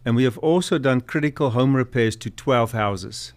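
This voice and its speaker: A man's voice: medium pitch, moderate pace. A middle-aged man from South Africa with a light South African accent, telling stories as a guest on a talk show. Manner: storytelling, earnest, many fillers. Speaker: guest, nonprofit executive director. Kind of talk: casual interview chat.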